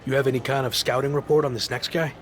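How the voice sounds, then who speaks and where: A reluctant yet determined pro wrestler, played by a man in a video game. His voice sits at a middle pitch, dry and earnest, over faint background music. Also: steady tone, fast talker, lightly acted. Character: reluctant but determined male pro wrestler seeking comeback. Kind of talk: video game cutscene acting.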